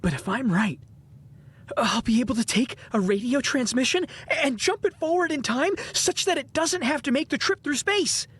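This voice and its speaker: An actress as an eager young male inventor in a period audio drama. The voice is husky and fairly high, moving animatedly through enthusiastic explanations. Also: expressive, moderate pace. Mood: excited, explaining. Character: eager, brainy seventeen-year-old boy inventor from 1915.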